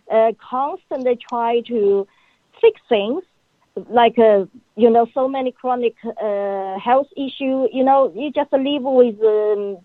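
A woman's voice: fairly high pitch, dry recording. Woman on a tinny phone line. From China. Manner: halting, frequent uh fillers, non-native grammar. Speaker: guest, PhD in psychology. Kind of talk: phone-in interview answers.

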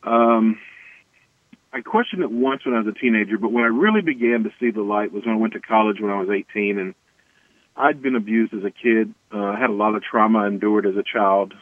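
An American man with a fairly low voice tells his own story as an interview guest. His delivery is earnest and halting. The sound is thin and phone-like, with very little room around it. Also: dry recording. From Tennessee, United States. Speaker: interview guest, anti-racism activist. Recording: tinny band-limited phone-like line.

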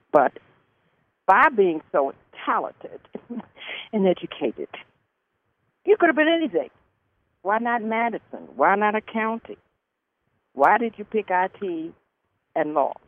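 A man's voice: on the high side, animated, a little slow, long pauses. A man hosting a talk show and interviewing a guest, with frequent brief words of agreement. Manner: affirming, lots of brief acknowledgements. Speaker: radio show host. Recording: dry, tinny phone-like line.

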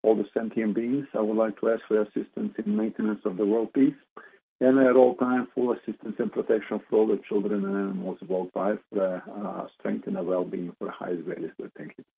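Gravelly man on a noisy phone. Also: on the low side, steady tone, fairly quick. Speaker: caller. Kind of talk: spoken prayer-like intention. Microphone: telephone. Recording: noisy, narrow phone line with crackle.

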